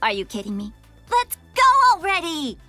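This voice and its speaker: A woman playing an eager, impatient young heroine in a video game, excitable in manner. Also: high-pitched, moderate pace, dry recording, soft background music, heavily acted.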